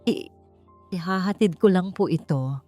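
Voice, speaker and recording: A woman's voice: on the low side, unhurried, dark tone, soft background music, natural voice. A woman voicing an anxious, humble young housekeeper in an audiobook drama, speaking hesitantly and deferentially with a trembling voice. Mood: puzzled, hesitant.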